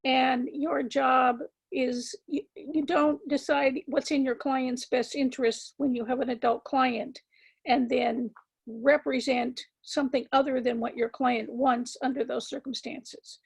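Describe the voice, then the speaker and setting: A woman with a scratchy voice giving a measured, emphatic legal lecture to attorneys, with frequent ums and words repeated for stress. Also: high voice, flat delivery, creaky, breathy edge. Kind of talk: continuing-education lecture.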